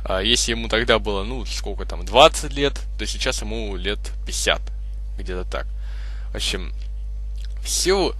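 A young man hosting a gaming video with casual, halting, improvised gameplay commentary. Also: lowish voice, fairly bright tone, faint music behind. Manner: hesitant, halting, improvised phrasing.

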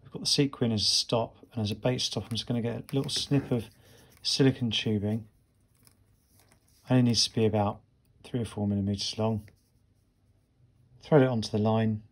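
A man's voice: on the low side, steady tone, moderate pace, pauses often. Man, casual fishing vlogger. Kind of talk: casual instructional vlog talk. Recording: clear background ambient noise.

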